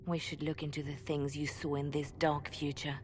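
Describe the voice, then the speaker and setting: An actress playing a grave, watchful advisor in a fantasy video game, measured and serious. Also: female voice, deep voice, flat delivery, lightly acted. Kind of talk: video game dialogue acting. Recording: faint background noise.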